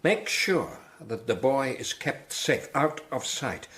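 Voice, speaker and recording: An actor playing a grave, devout lord archbishop in a radio drama, speaking with formal authority. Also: male voice, mid-range voice, big pitch swings, slow pace, fairly bright tone. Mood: grave, commanding.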